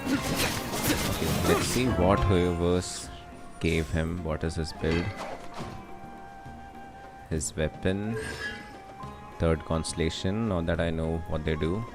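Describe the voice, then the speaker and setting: A young man with an Indian accent and a very low voice. He chats casually as a game streamer, commenting on play, with faint music underneath. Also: takes it slowly, pauses often.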